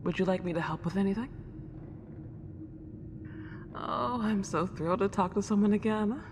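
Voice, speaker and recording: Woman acting an excitable ghost girl in long reverb. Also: medium pitch, moderate pace, pitched above the performer's own voice, lightly acted. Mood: joyous, gracious. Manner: old-fashioned genteel wording, gushing wonder. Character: excitable, polite century-old young ghost girl. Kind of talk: ASMR roleplay acting. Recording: long reverb, clear background noise.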